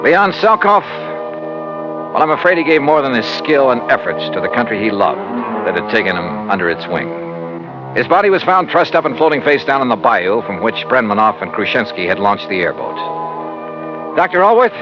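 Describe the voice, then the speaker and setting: An American actor playing a tough, wry freelance insurance investigator, narrating and acting in a 1950s radio detective drama. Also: male voice, medium pitch, sing-song, normal speed, lightly acted. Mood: wry, somber. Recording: muffled old radio recording with music.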